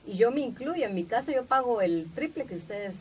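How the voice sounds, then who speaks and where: A woman's voice: fairly high pitch, lively intonation, brisk, crowd noise. A woman from Guatemala speaking earnestly as a guest in a conversational interview, repeating phrases for emphasis. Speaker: interview guest, media personality. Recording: distant mic, faint background noise.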